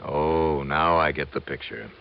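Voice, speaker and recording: An American actor playing a wry, easygoing insurance investigator in an old-time radio detective drama, with a sardonic, conversational manner. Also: male voice, extremely low pitch, level tone, a little slow, clean tone. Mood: dry, needling. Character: wry, breezy freelance insurance investigator, hard-boiled but easygoing. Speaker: narrator and lead actor of a detective serial. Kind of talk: old-time radio detective acting and first-person narration. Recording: muffled vintage radio recording with music.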